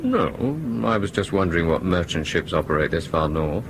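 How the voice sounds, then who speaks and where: A man acting in a radio drama as a calm, reasonable airline crewman with a measured, mild manner. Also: low-pitched, lightly acted. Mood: calm, curious. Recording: clear background noise.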